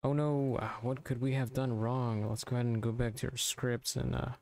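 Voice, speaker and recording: A man narrating a software tutorial informally, thinking aloud step by step into a close mic. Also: mid-range voice, flat delivery, normal speed, gravelly, dry recording. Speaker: tutorial presenter.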